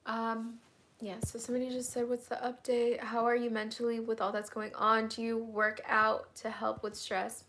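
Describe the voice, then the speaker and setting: A young woman, hoarse and getting over an illness, chatting candidly through a live Q&A at home, answering viewer comments with lots of "um"s and "like"s. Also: a little high, monotone, moderate pace, a bit bright. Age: young adult. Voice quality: raspy. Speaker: vlogger hosting a live Q&A. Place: at home, indoors.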